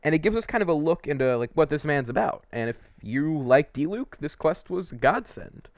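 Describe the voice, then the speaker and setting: A man's voice: fairly high pitch, animated, brisk, no echo, studio quality. A man giving casual, opinionated video game commentary as a host, conversational and a little hesitant, wandering into asides.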